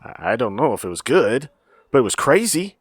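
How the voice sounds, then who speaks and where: Animated, scrappy teenage son. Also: male voice, medium pitch, a little fast, husky, no echo, studio quality. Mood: excited, defensive. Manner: eager, defensive, boyish talk. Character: scrappy teenage son, cocky, earnest, protective of his mother. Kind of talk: audio drama acting.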